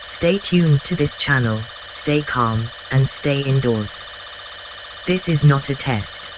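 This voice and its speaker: Emergency broadcast announcer, formal and clipped, medium pitch, noisy recording. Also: animated, slow. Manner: formal, clipped short statements. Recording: clear noise and alarm sounds behind.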